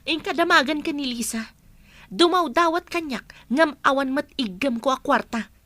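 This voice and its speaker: High-voiced exasperated mother. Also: moderate pace, bright, forceful tone, dry recording. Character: exasperated mother defending herself to her husband. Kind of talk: radio drama acting.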